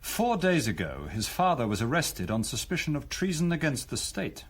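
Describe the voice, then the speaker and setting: An actor playing a chilling Nazi SS chief in a radio drama, politely pompous and measured, with quiet menace and a husky voice. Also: male voice, on the high side, very animated, full character voice. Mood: cold threat. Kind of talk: broadcast radio drama acting.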